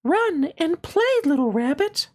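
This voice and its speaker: Very high, gentle storybook fairy. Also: female voice, expressive, measured pace. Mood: kindly, encouraging. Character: gentle, kindly nursery magic fairy, female. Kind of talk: children's storybook character voice.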